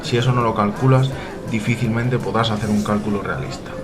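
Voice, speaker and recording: A man's voice: fairly low pitch, steady tone, fairly quick, close to an everyday voice. A man playing a critical, matter-of-fact archaeology pupil explaining in a scripted radio soap. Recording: music behind the voice.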